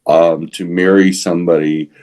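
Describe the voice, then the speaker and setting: A man with a Texas accent talking casually as a podcast co-host, halting often to repeat and rephrase his words. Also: low-pitched, flat delivery, darkish tone. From San Antonio, Texas. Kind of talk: casual opinionated podcast talk.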